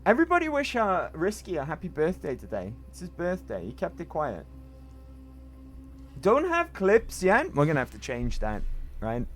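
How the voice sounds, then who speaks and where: A man with a croaky voice casually chatting to viewers while playing a game on a livestream, breaking into sudden exclamations. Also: fairly high pitch, wildly swooping pitch, normal speed, soft background music. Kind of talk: casual gameplay livestream chat.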